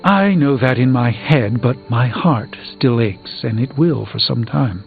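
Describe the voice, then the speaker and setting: An actor playing an earnest, formal superhero sorcerer burdened by regret in a video game, speaking in an articulate, measured way. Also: male voice, medium pitch, lively intonation, gravelly, narrow, tinny audio. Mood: regretful. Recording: band-limited game audio with background music.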